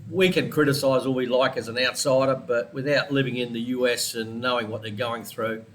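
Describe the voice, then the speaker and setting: A man's voice: mid-range voice, fairly bright tone, slight background noise. An Australian man with a congested head cold, chatting casually through a weekly forex trading analysis and rambling off on side topics. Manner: chatty, rambling, digressive, with small laughs.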